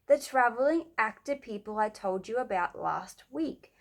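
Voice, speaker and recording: Woman acting an excitable, bossy, higher-pitched schoolgirl. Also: unhurried. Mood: excited, impatient. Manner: emphatic, dramatic.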